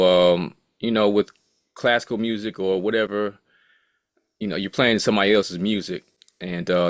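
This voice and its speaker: A man's voice: deep voice, creaky, no echo, studio quality. A man in his late thirties, a music producer speaking as an interview guest in a laid-back, rambling way full of asides. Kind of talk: casual interview talk.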